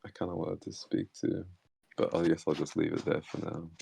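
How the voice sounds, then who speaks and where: Very deep gravelly man, halting speech. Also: level tone, mellow, slight background noise. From Australia. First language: Hakka Chinese. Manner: halting, many fillers and restarts. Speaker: interview guest. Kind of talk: casual reflective conversation. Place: online voice chat.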